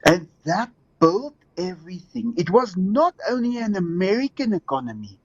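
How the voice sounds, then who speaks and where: A man's voice: high voice, big pitch swings, moderate pace, husky, no room sound, muffled recording. A man from South Africa with a South African accent, calling into a show and rambling with restarts and laughs. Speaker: caller. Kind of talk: casual call-in chat.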